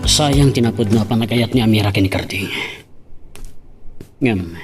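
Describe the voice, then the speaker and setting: A man's voice: lowish voice, normal speed, over loud music, close to an everyday voice. A man playing a sly, persuasive friend secretly in love, in an audio romance drama. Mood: scheming, gloating. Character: scheming lovesick male friend, sly and persuasive.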